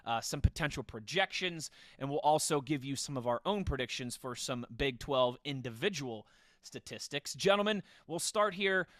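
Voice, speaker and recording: Bright, forceful American man hosting sports podcast. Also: mid-range voice, animated, moderate pace, no echo, clean studio sound. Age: 30s. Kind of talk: upbeat football podcast chat and segment hosting.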